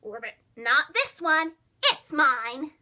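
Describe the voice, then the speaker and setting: A woman voicing a quarrelsome male frog in a children's storybook, shouting in a defiant, possessive way. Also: sits high, sing-song, slow pace, exaggerated voice. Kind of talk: children's storybook character reading.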